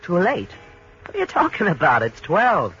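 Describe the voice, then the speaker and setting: A cocky young tourist fires off slangy wisecracks in a bright voice that swings up and down. The sound is a dull, lo-fi 1940s radio broadcast. A faint hiss runs underneath. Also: male voice, high voice, wildly swooping pitch, moderate pace, breathy edge, full character voice. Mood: swaggering.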